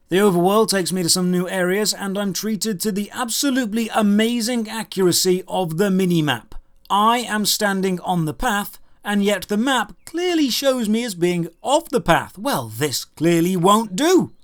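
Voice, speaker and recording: High-pitched man narrating a sarcastic game review. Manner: sarcastic, mock-incredulous.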